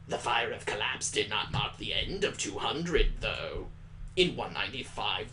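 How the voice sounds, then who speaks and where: A man voicing a grave, measured schoolteacher who lectures in a formal, deliberate way in a visual novel. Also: fairly low pitch, gravelly, pushed and bright, a little hiss or hum, heavily acted, poor audio.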